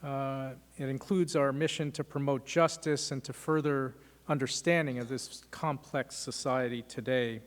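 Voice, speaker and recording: A man hosting a law school lecture, giving measured welcoming remarks and thanks, with frequent "uh" fillers. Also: medium pitch, steady tone. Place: lecture hall. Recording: long hall reverb.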